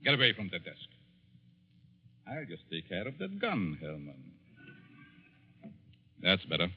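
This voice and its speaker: An actor playing a sinister, gloating professor and murderous schemer in 1940s radio suspense style, theatrical, taunting and given to chuckling. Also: male voice, sits low, wildly swooping pitch, unhurried, breathy edge, exaggerated voice. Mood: sly, menacing. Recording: dull lo-fi 1940s radio broadcast.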